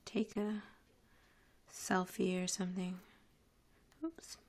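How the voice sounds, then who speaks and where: Chatty woman with a gravelly voice. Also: fairly low pitch, level tone, takes it slowly. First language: French. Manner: chatty, rambling, many "like" fillers. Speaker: live streamer. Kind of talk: casual live-stream chat. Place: living room at home, indoors. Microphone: headset with webcam.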